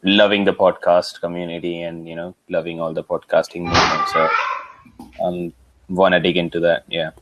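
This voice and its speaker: A soft-spoken man chatting as a guest, hesitant at times and quick to agree. His voice sits very low. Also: little pitch movement, highs cut off, slight background noise. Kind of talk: casual chat.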